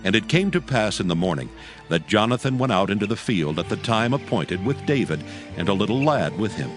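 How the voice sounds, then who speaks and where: Deep-ish guy narrating scripture solemnly, really expressive, music playing behind. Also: brisk. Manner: solemn, storytelling.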